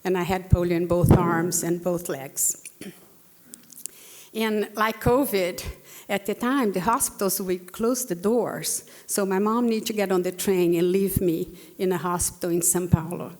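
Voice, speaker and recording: Slow-talking Brazilian-accented woman in her sixties. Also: on the low side, fairly even intonation, darkish tone. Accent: Brazilian Portuguese. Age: 60s. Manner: earnest, with frequent fillers and restarts. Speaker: panelist speaker. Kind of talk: personal story in a talk. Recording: long hall reverb.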